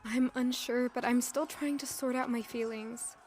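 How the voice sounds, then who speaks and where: A woman playing a gentle, earnest peasant heroine in an audio drama, with a tentative, questioning manner. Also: fairly high pitch, steady tone, normal speed, lightly acted. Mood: unsure, shy. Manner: soft-hearted, tentative, questioning.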